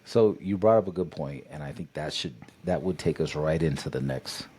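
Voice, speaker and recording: A man's voice: a bass voice, normal speed, husky. A young adult man co-hosting a podcast, telling stories in a casual chat and jumping into quoted voices. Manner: animated, jumps into quoted voices. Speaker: podcast co-host.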